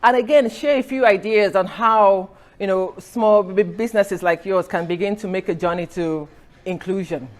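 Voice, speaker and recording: A woman's voice: medium pitch, moderate pace. A middle-aged woman from Nigeria giving an earnest personal talk at an event, telling her story with restarts and light laughs. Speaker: speaker. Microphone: recorded for a podcast.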